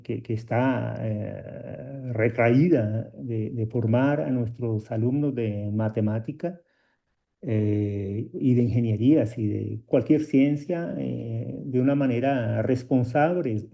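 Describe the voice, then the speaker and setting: A man giving an unscripted academic talk over a video call, slow and hesitant with many filler sounds, a native Portuguese speaker. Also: mid-range voice, vocal fry, highs cut off. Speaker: guest speaker at a colloquium.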